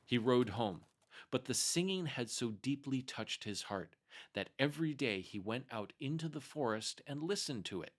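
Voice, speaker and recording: A man's voice: mid-range voice, lively intonation, normal speed. Man reading a fairy tale expressively. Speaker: storyteller. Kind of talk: scripted fairy-tale narration, read aloud.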